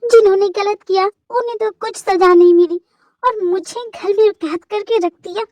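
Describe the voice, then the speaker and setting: Woman as a fragile, clingy young wife; high voice, tearful and pleading. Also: moderate pace, darkish tone, no room sound. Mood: bitter.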